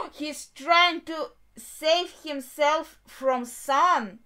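A woman in her twenties reacting casually on camera in a small room, thinking aloud in a halting way. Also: on the high side, a bit bright. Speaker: reaction video host. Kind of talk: casual spoken reactions to an anime episode. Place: small bedroom, indoors.

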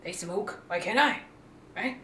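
A woman voicing a foul-mouthed, deadpan, defiant female dog in a comedy sketch. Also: medium pitch, unhurried, slight background noise. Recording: echoey room, distant mic.

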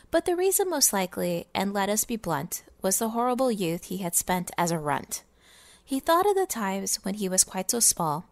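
A woman giving a dramatic, expressive storybook reading in sing-song rhyming verse. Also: mid-range voice, no room sound. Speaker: gaming video creator reading a story.

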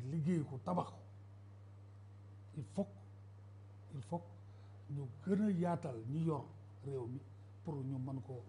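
A man's voice: fairly high pitch, warm tone, slight background noise. A middle-aged Senegalese man arguing insistently as a debate guest in a TV studio, on a lapel mic. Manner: insistent, interrupts back. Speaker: political guest. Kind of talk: televised political debate. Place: TV studio set.

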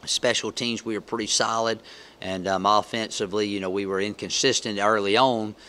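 A man's voice: fairly low pitch, fairly even intonation, fairly quick, pushed and bright, smooth. A middle-aged man speaking as head football coach at a post-game press conference, matter-of-fact with frequent ums, on a table mic. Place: press room, seated at table.

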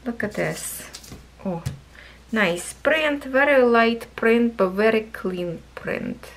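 Woman w/ reviewing craft kits, slow and soft, voice a bit hoarse. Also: a little high. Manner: chatty, thinking aloud, soft and slow. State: voice going hoarse, coughing. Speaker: craft channel host reviewing cross stitch kits. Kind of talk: casual product review vlog. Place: indoors at a wooden table. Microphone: camera over a table, hands only in frame.

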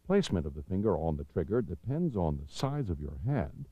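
Deep-voiced American man narrating instruction. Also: sing-song, fairly quick, dry recording. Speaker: training film narrator. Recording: dull, lo-fi 1990s videotape soundtrack.

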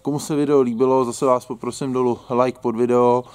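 A man's voice: mid-range voice, steady tone, a little mellow, clear, resonant tone, no room sound. Chatty Czech-speaking man demonstrating outdoors. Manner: chatty, joking, colloquial Czech. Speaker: outdoor gear channel host. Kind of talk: casual how-to demonstration. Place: outdoors in a grassy yard by a wooden shed.

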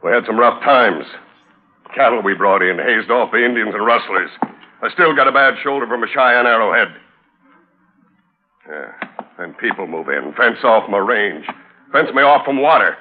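An actor playing an aging, stubborn cattle baron with a folksy Western drawl in a radio drama. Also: male voice, lowish voice, expressive, moderate pace, pauses often. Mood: bitter, indignant. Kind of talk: 1950s radio drama acting. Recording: muffled, noisy old radio recording.

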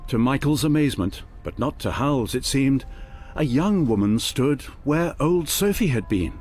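A man's voice: medium pitch, lively intonation, moderate pace, a little mellow, soft background music, natural voice. A man acting as the storyteller, giving scripted narration in an audio drama. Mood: storytelling. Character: storyteller narrating a fantasy tale.

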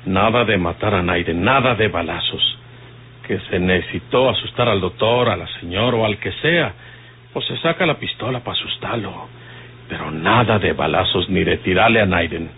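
An actor playing a determined, rustic outlaw leader in a radio drama, with a rural northern Mexican accent and a firm, colloquial way of talking. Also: male voice, deep voice, moderate pace, husky. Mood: firm, resolute. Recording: lo-fi hissy old radio recording.

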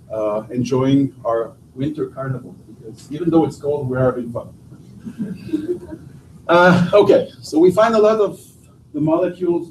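A middle-aged French-speaking man from Quebec gives a university seminar talk in accented English, often pausing and restarting his phrases. His voice is mellow and sits at an ordinary pitch. It is heard from some distance in an echoey lecture room. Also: slight background noise. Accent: Québécois French. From Quebec, Canada. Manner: halting, many restarts and fillers. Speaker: guest lecturer, professor. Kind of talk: academic seminar talk with slides. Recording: distant, roomy lecture hall audio.

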